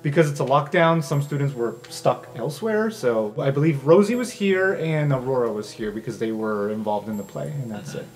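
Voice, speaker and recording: A man's voice: medium pitch, brisk, few pauses, a little mellow, slight background noise. A man acting as game master, conversationally describing scenes in an improvised tabletop roleplaying game.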